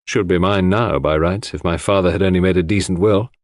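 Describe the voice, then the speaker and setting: A man voicing a gloomy, curt English country gentleman in an audiobook, frank and grumbling in manner. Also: low voice, steady tone, a little fast, dry recording, studio quality, lightly acted. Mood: gloomy, bitter. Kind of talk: audiobook character dialogue.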